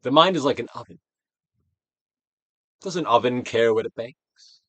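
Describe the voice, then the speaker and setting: A man's voice: medium pitch, animated, a little slow, no room sound. Theatrical male reader with sweeping intonation. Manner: theatrical, emphatic, swinging from loud declaration to hushed phrases. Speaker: actor reading a book aloud. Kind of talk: dramatic audiobook reading of a self-help book.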